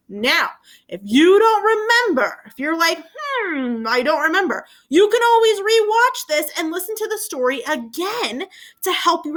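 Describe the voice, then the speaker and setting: Lady teacher reading a story to little kids, bright voice, super up and down, asks them questions. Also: high voice. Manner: addresses listeners as friends, prompting with questions. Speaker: elementary school teacher. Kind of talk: read-aloud lesson for young children.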